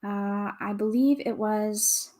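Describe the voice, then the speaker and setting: A woman with a calm, soothing voice, chatting casually with viewers as a livestream host, greeting and thanking them as she goes. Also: mid-range voice, takes it slowly. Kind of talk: casual livestream chat while building.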